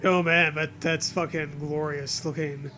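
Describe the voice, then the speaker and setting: A man with a high, bright voice riffs casually beside music. His delivery is playful and crude, slipping into silly little acted quips. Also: level tone, unhurried. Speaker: co-host of a riffing show. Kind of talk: casual joking banter. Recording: music behind the voice.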